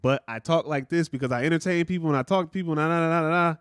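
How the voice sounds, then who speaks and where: A man with a deep voice chats casually as a podcast co-host, animated and full of slang. His delivery is lively, and the sound is dry and close. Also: racing, clean tone, no room sound. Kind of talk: casual chat.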